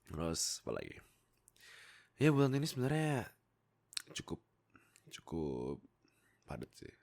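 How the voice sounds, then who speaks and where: A young man with a low, gravelly voice rambles casually into a close microphone. His delivery is playful and hesitant, swinging widely up and down as he breaks off into jokey asides. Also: huge pitch swings, a little slow, darkish tone. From Indonesia. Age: young adult. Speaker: YouTube content creator and voice actor. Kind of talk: casual rambling chat to viewers. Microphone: close desktop microphone.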